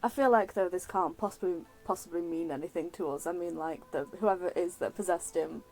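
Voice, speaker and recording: A woman's voice: lowish voice, a little fast, a little mellow, soft background music, rough recording. A woman chatting casually as she plays a game, talking back to the characters as if she were in it. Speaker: gaming streamer. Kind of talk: casual let's play commentary.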